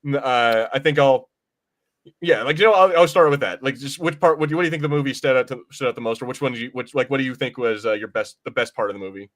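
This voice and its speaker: A man's voice: mid-range voice, fast, a bit bright. A man hosting a podcast in casual chat, talking in an informal, rambling, self-correcting way. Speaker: podcast host.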